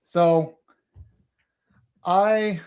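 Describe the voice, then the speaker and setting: Podcast guest, a man raised in Texas; a touch high-pitched, earnest and rambling. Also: fairly even intonation, drawn-out words, a little mellow, clean tone. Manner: earnest, rambling, with fillers and restarts. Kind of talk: casual podcast chat.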